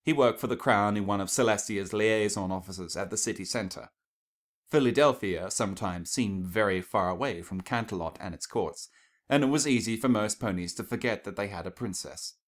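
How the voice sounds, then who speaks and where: Low-pitched man narrating fiction. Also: moderate pace.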